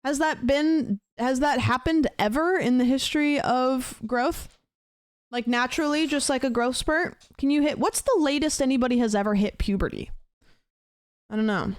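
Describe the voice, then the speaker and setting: A woman's voice: on the high side, normal speed, smooth, no echo, clean studio sound. A woman in her late twenties, a streamer chatting casually with her viewers, rambling with lots of fillers. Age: late 20s.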